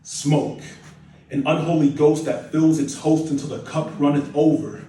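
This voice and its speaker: A young American man reciting spoken word poetry with dramatic, deliberate phrasing and emphatic repetition. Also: on the high side, steady tone, warm tone. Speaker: spoken word poet, nursing student. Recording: echoey room, distant mic, faint noise.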